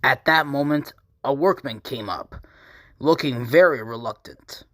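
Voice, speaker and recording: A man's voice: mid-range voice, a little slow, fairly bright tone, dry recording, studio quality, pitched below the performer's own voice, natural voice. A man reading scripted narration for a children's story in a fan comic dub. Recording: music under the voice.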